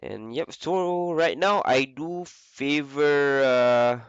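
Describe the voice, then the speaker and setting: A young man with the flu, casually commentating a video game on his own, with a lot of filler pauses. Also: a little high, clean tone, dry recording, muffled recording. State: sick with flu. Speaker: esports caster.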